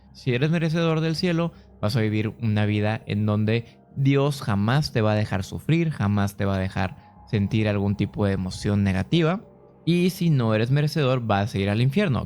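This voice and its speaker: A man's voice: lowish voice, a little mellow. A man with a Mexican accent narrating a casual explainer in an informal, conversational way, speaking directly to viewers. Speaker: video host.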